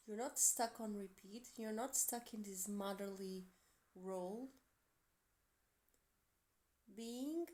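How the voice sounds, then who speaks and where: A woman from Portugal, speaking English as a second language with a Portuguese accent, giving an earnest, encouraging tarot reading with soft, quiet asides. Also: mid-range voice. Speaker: tarot reader. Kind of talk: tarot reading for a zodiac sign.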